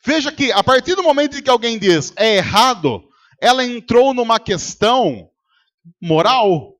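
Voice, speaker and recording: High-voiced Brazilian pastor preaching. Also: male voice, big pitch swings, normal speed, fairly bright tone. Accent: Brazilian Portuguese. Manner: rhetorical, quizzes the congregation and acts out arguments. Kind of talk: sermon. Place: church service.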